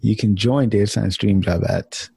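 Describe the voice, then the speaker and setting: A man with a gravelly, husky voice hosting open office hours in a loose, informal group chat. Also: fairly low pitch, moderate pace, background noise. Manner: informal, loose, mild swearing.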